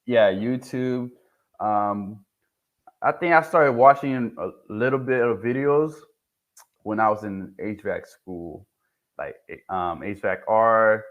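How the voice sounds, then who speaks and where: A young man from Haiti with a lowish voice speaks softly and haltingly. He restarts his phrases as he tells his story as an interview guest. The sound is a bit dull and lo-fi. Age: young adult. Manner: soft-spoken, hesitant, restarts often. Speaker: guest, HVAC technician. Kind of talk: casual interview talk.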